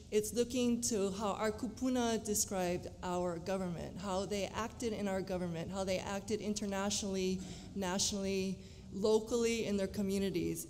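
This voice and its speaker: A woman, a teacher speaking on a panel in an earnest, deliberate way. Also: medium pitch, a little mellow. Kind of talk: panel discussion. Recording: long hall reverb, faint noise.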